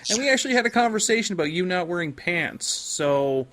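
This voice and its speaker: Higher-pitched man, casual podcast host. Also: lively intonation, brisk, fairly bright tone. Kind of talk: casual chat interview.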